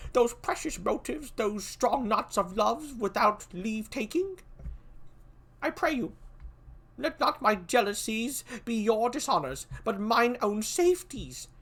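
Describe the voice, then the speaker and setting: A woman doing a young high-voiced prince. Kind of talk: reading a play aloud.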